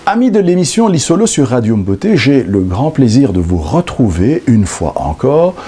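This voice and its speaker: Husky, lively radio host man. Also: medium pitch, normal speed, soft background music. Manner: announcing, reading a list of dates. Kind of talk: radio interview introduction.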